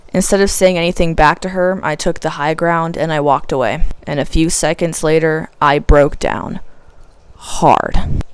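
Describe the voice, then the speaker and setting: A woman's voice: on the low side, smooth, dry recording. A nineteen-year-old woman, a film student, talking candidly in a casual personal vlog, laughing often. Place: small room, poorly lit.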